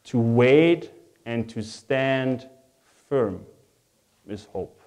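A man's voice: medium pitch, lively intonation, very slow, a little mellow. German-accented male pastor preaching. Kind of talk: sermon.

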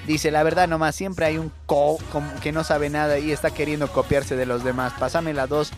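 A man's voice: a little high, flat delivery, moderate pace, over loud music. A young man with a Bolivian accent, hosting a radio show with casual, joking, informal comic talk. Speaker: radio host and comedian. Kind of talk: casual comic radio monologue, reading listener messages.

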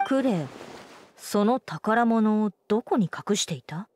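A woman's voice: mid-range voice, expressive, a little slow, heavy background noise, natural voice. A woman voicing a responsible, firm but caring female knight commander with formal, composed speech in an anime video game. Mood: stern. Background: background music.

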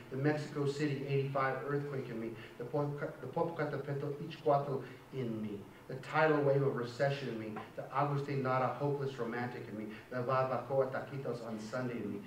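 A middle-aged man giving a university poetry lecture, chatty, who keeps checking in with "right?" and reads a poem aloud. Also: medium pitch. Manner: conversational, keeps asking "right?". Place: lecture hall. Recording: echoey hall from far off, faint hiss.

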